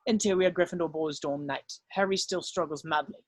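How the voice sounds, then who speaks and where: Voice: mid-range voice, fairly quick, dry recording. A narrator reading screenplay stage directions as scripted narration, now and then stumbling over the text.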